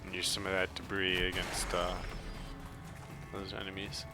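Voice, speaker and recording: A man giving casual, halting, explanatory commentary for a video game walkthrough, with game music behind him. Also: low-pitched, monotone.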